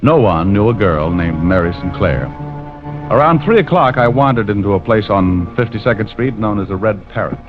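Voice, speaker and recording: A man's voice: fairly low pitch, lively intonation, normal speed, natural voice. A man playing a tough, cynical American private detective in an old radio drama, with wry, clipped hardboiled delivery. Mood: grim, wry. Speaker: lead actor and narrator. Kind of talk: 1940s-50s radio drama acting, hardboiled narration. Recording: muffled old-time radio recording with music.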